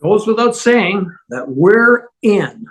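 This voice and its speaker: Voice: medium pitch, big pitch swings, takes it slowly, rough recording. An amateur actor on a video call table read, playing a cheery, wistful leader resigned to doom. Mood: excited. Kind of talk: fan table read of TV comedy script.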